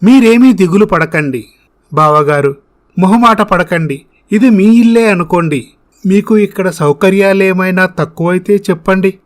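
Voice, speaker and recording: A man's voice: sits high, measured pace. Man playing a kindly older village father. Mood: welcoming. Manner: respectful, comforting.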